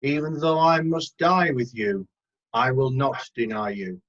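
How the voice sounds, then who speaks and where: A man's voice: mid-range voice, expressive, unhurried, a bit bright. A man playing an earnest, fiercely loyal disciple in a dramatic scripture reading over a video call. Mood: earnest, insistent. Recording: dull, muffled video call audio.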